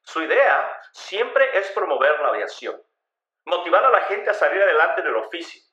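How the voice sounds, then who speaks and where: A man's voice: a little high, very animated, normal speed, bright, forceful tone, muffled recording. A man reading a scripted life story as a dramatic narrator. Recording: roomy, echoing voice recording.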